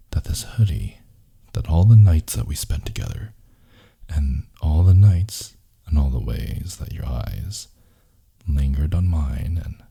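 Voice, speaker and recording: A man playing a grumpy, possessive, wounded boyfriend in an intimate ASMR roleplay, breathy and intense, often dropping into a whisper. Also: a bass voice, dark tone, exaggerated voice. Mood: pleading, challenging. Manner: slow, intense, teasing murmur with dramatic pauses. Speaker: audio roleplay voice actor.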